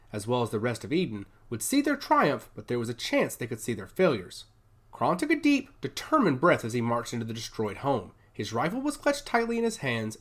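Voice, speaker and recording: Sci-fi story narrator: mid-range voice, hugely varied melody, dramatic scripted read. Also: medium pitch.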